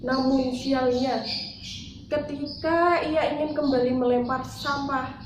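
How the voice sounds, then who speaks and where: High-voiced young woman narrating a fairy tale. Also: fairly even intonation, slow pace, lo-fi sound. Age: young adult. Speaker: storyteller, university student. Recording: echoey room with faint noise.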